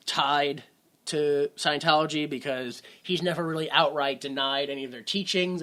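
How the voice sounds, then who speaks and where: A man's voice: a little high, bright, forceful tone. A man co-hosting a casual movie podcast, rambling with sarcastic asides. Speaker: podcast co-host.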